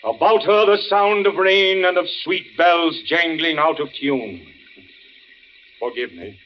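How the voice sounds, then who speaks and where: A man's voice: a little high, close to an everyday voice. Man acting a grandiose, half-mad old tragedian. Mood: mournful, wistful. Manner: declamatory, grandiose, dramatic pauses. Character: theatrical grieving old actor father, half-mad, accusing. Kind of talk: 1940s radio acting. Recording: muffled, noisy old radio recording.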